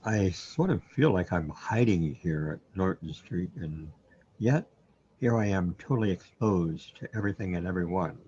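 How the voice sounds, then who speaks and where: An actor playing a troubled, bewildered man in a surreal sci-fi radio drama, hesitant and halting as he muses aloud. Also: low voice, lively intonation, dark tone, dull audio, a little hiss or hum. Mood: awed, overwhelmed. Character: troubled, bewildered man overwhelmed by cosmic reality.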